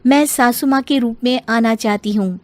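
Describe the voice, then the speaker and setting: A woman voicing a sly, sweet-spoken young daughter-in-law in a moral story, with expressive delivery. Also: on the high side, normal speed, no echo, close to an everyday voice. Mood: musing. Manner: expressive, musing aloud.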